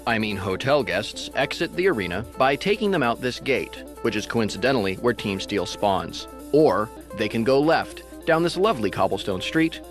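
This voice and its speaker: A man doing scripted play-by-play commentary on a video game match, with wry jokes slipped between rapid calls. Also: medium pitch, expressive, faint music behind.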